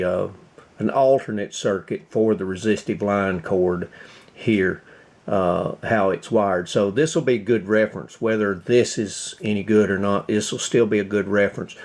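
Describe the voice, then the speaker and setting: A man with a fairly low voice and a heavy creak talks at a very unhurried pace. He hosts a casual hands-on demonstration, feeling his way through it in short, halting phrases as he thinks aloud. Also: a little mellow. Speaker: host of a radio repair video.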